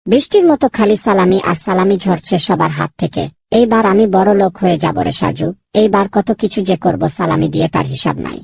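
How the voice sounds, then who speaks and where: A woman voicing an excitable, friendly young boy in a children's cartoon, with a husky, creaky voice. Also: mid-range voice, fairly quick, dry recording. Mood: gleeful.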